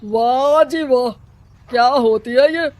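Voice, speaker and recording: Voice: high voice, slow, darkish tone. A voice doing a bossy village headman. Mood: scolding. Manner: bossy, scolding. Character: scheming middle-aged male village headman.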